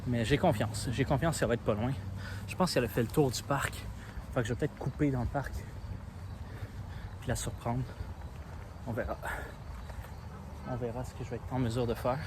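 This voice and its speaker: Relaxed Quebec man over street traffic. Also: medium pitch, moderate pace, pauses often. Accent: Quebec French. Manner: relaxed, thinking aloud, plainspoken. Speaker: seeker in hide-and-seek vlog. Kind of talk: casual self-filmed vlog narration. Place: outdoors on city streets. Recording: phone recording with clear street traffic.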